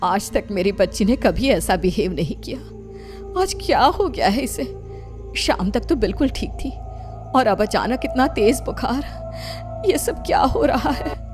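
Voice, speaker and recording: A woman acts out an anxious mother in an animated story. The voice is high and very lively in pitch, doting but fretful and pleading. Music sits behind it. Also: a little slow. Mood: worried.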